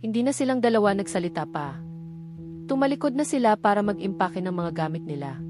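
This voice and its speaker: A Filipino-accented woman narrates a romance novel in a scripted storytelling style. Her pitch sits in the middle range for a woman. Music plays clearly behind her voice. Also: animated, a little fast. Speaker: audiobook narrator. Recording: background music under voice.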